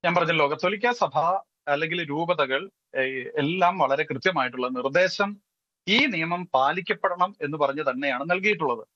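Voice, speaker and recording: Bright, high-pitched man, dull recording. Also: normal speed, dry recording. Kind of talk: explanatory TV debate commentary.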